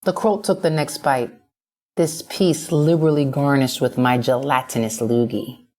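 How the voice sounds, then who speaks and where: Casting: female audiobook narrator, low register, expressive first-person fiction read with dramatic flair. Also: normal speed.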